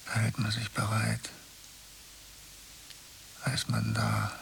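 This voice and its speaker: An actor playing a sleepless, irritable, needy count in a radio play, muttering impatiently and pleading in a breathy, creaky half-whisper. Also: male voice, lowish voice, completely flat delivery, slow pace, fairly bright tone, slight background noise, full character voice. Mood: pleading, weary.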